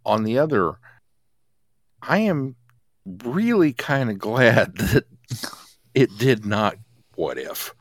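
A man's voice: medium pitch, sing-song, takes it slowly, long pauses, no echo. A man co-hosting a podcast, casually reviewing in an opinionated way, often restarting his sentences. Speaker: podcast co-host.

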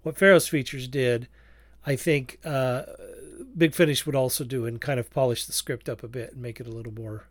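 A man's voice: mid-range voice, fairly even intonation, brisk, no room sound. A man hosting a casual review discussion, feeling his way through his points in a hesitant, circling way. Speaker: podcast host.

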